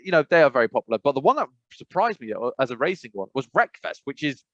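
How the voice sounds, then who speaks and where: A man's voice: mid-range voice, sing-song, quick pace, a bit bright, no echo, highs cut off. A man with an English accent co-hosting a gaming podcast over a video call, talking casually with many restarts and fillers. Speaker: podcast co-host. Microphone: remote call mic.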